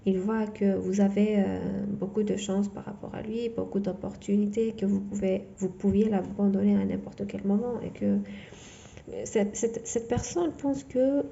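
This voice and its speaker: A woman whose first language is not French, giving a tarot reading in a halting way full of fillers and restarts. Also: medium pitch, steady tone, creaky, warm tone, highs cut off, slight background noise. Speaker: tarot reader.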